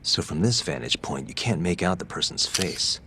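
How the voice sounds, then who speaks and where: A low-voiced, cool young detective. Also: male voice, lively intonation, moderate pace, vocal fry, pushed and bright, a little hiss or hum, full character voice. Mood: focused. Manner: composed, confident, wry. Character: cool, persistent young male private detective. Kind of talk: English video game dub acting.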